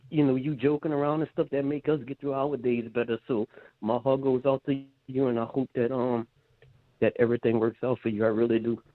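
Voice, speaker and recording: Man, caller, tinny phone line, earnest, rambling, heartfelt. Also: medium pitch. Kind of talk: casual phone call.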